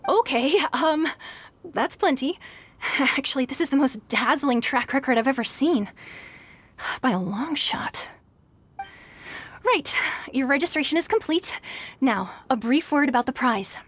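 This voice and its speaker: A woman's voice: sits high, unhurried, pauses often. A woman voicing a polite, flustered tournament registrar in a video game who gets starstruck and stammers. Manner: polite, hesitant, easily excited.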